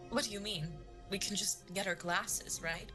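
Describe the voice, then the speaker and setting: A woman playing a boastful, chatty, overbearing mother in a comedic audio drama, gushing and quick to interrupt. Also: mid-range voice, music in the background, natural voice. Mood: defensive. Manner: gushing, interrupting, nervously dismissive.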